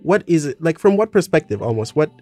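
A man from Southern Africa hosting a casual podcast, rambling and animated, trailing off into fillers. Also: fairly high pitch, brisk, soft background music. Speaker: podcast host.

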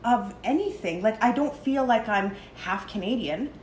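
A fairly high-voiced woman, born in Lebanon, telling animated stories in casual chat. She acts out other people's voices and laughs easily. The recording sounds roomy, with faint ambience behind. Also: normal speed, a little hiss or hum.

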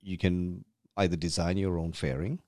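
Podcast guest: Sri man, deep low voice, dry close sound, chatty and full of restarts. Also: fairly even intonation, normal speed, a little mellow, no room sound. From Sri Lanka. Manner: repeats and restarts words often, chatty. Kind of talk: casual conversational explaining. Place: rented room.

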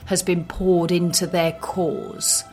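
A woman co-hosting a film podcast, giving analysis in a measured, considered way. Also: on the low side, flat delivery, a little mellow, faint music behind.

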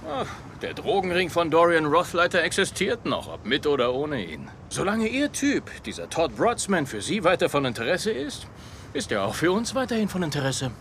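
A man's voice: a little high, wildly swooping pitch, normal speed, a bit bright, a little hiss or hum, exaggerated voice. A man playing a world-weary, sardonic police detective in a German screen dub, dry and mocking.

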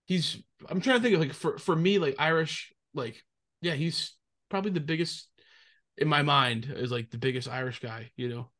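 A man's voice: a little high, normal speed, fairly bright tone. A man with a New England accent, hosting a casual podcast chat and rambling through his thoughts. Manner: rambling, many fillers and restarts. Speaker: podcast host.

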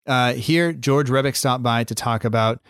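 A man's voice: medium pitch, normal speed, a bit bright, clear, resonant tone, no echo, clean studio sound. A man hosting a podcast, talking in an easygoing, loosely phrased way. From United States. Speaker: podcast host. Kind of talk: casual podcast chat.

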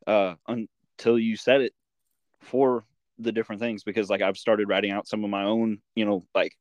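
A man's voice: lowish voice, dry recording. A man hosting a podcast, chatting casually in an interview. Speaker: podcast host and comic maker.

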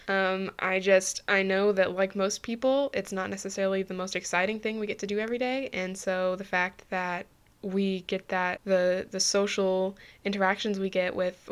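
Chatty young woman with a smooth voice. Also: mid-range voice, a bit bright. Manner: chatty, laughs easily, restarts phrases. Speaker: podcast host. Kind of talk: casual podcast talk.

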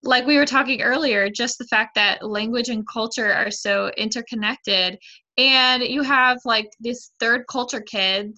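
A woman with a bright, fairly high voice chats casually. She talks loosely and often fills her sentences with little verbal fillers. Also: measured pace, lo-fi sound. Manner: chatty, with many fillers. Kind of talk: casual conversation.

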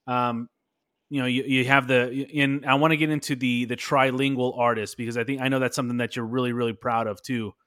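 A man's voice: mid-range voice, little pitch movement, a little fast, a bit bright. A man hosting a podcast, asking long questions with many hesitations and restarts. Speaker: podcast host. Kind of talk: interview questions.

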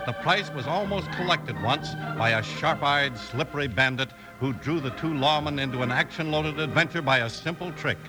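Old time american radio announcer guy, dramatic scripted read, loud music behind him. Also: a little high, normal speed, hardly any pauses, poor audio.

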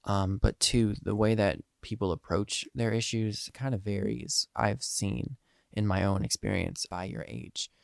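A man's voice: on the low side, steady tone, measured pace, gravelly, no echo, studio quality. A nineteen-year-old man with a head cold and a slightly nasal voice, hosting a casual solo podcast in an earnest, rambling way with lots of ums. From Eastern Pennsylvania. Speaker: podcast host.

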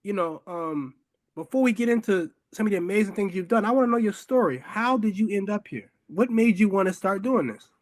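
Podcast host, male, respectful and affirming, high voice, dry sound. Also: lively intonation, brisk, no room sound. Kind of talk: interviewing.

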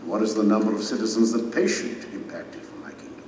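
An actor playing a majestic, stern king who judges his subjects in a solemn, measured, authoritative way in an audio drama. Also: on the low side, expressive, a little mellow, slight background noise, close to an everyday voice. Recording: cavernous reverberant hall, distant, music underneath.

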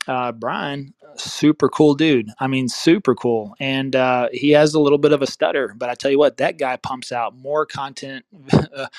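Male podcast host, casual advice, rambling and self-correcting, mid pitch, dry close sound. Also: dry recording. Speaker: real estate podcast host.

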